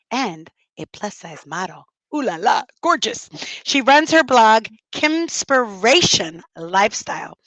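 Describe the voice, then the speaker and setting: A middle-aged woman hosting a livestream podcast, upbeat and motivational, her voice a little hoarse and raspy. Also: fairly high pitch, fairly bright tone, no room sound, poor audio. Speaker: podcast host.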